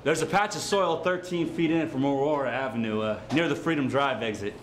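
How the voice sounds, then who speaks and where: A man's voice: mid-range voice, brisk. A man performing a literary reading, recorded live at an indoor venue. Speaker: drama student or college staff reader. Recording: echoey, noisy venue with crowd.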